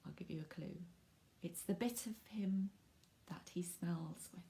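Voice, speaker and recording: Woman reading a kids picture book out loud, bit of creak in the voice, really acts up the words. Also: lowish voice. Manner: animated, dramatic emphasis with drawn-out words and hushed asides. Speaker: author reading own picture book to children. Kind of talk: expressive storybook reading with asides to young listeners.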